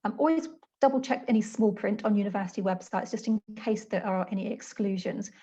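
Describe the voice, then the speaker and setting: Southern english woman explaining student finance, warm dark voice, muffled call audio. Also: medium pitch, brisk, slightly rough. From South Coast of England. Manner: friendly, explanatory, slight hesitations. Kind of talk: webinar explanation.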